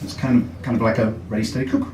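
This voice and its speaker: A man's voice: fairly low pitch, fairly even intonation, a little fast, darkish tone, roomy sound, far from the mic, slight background noise. An English man performing a chatty, self-deprecating stand-up comedy set in a basement comedy club. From England.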